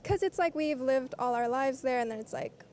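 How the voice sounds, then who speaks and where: A woman's voice: high voice, a little mellow, a little hiss or hum. A young woman in her late teens from South Africa, chatting casually and laughing often in a street interview. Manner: chatty, laughs often, fast upbeat talk.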